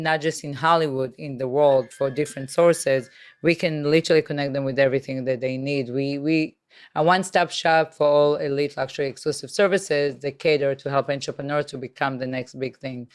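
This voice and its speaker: A woman from Israel with an Israeli accent, a guest entrepreneur talking in an energetic, promotional way in a casual interview. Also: low voice, normal speed, smooth.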